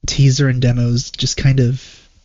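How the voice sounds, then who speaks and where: A man giving casual reaction commentary as a host, hesitant and rambling as he thinks out loud. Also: mid-range voice, monotone, a little slow, no room sound. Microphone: wrong microphone, close and dry. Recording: dull, muffled lo-fi microphone.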